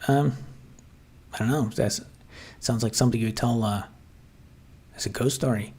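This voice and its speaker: Husky-voiced man musing on a livestream. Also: mid-range voice, moderate pace, a little mellow. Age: adult, grew up watching 1990s TV. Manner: hesitant, many fillers and restarts, musing. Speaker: livestream host reading chat. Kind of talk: casual rambling livestream chat.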